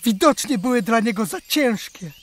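Woman as a courtly, bold hare, higher voice, faint outdoor noise. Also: lively intonation, moderate pace, close to an everyday voice. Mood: gallant. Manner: courtly, theatrical. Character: gallant brave male hare. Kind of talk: children's radio play acting.

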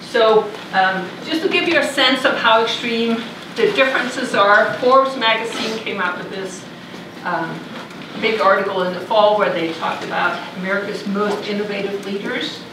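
A woman's voice: mid-range voice, normal speed, background noise. A middle-aged woman from Denmark giving a conversational university lecture in a large lecture hall, recorded from far off. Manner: conversational, thinking aloud with fillers. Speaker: visiting lecturer. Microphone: room mic far from speaker.

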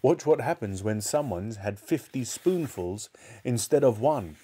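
Sly, cheerful husband for a children's tale, excitable and self-satisfied a male narrator acting him out. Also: medium pitch, measured pace, slightly rough. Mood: smug, gleeful. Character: gleeful, sly, mischievous husband and father.

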